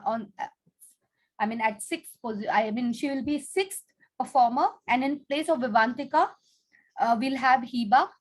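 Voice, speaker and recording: A woman with a fairly high-pitched voice speaks as a teacher and host on an online video call. She addresses children in an instructive way, laying out rules and prompting them with questions.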